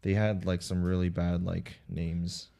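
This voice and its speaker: A man's voice: deep voice, flat delivery, measured pace, creaky, dark tone. A man co-hosting a podcast, talking loosely and jokingly with lots of fillers. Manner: loose, joking, heavy use of like. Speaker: podcast co-host. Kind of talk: casual chat.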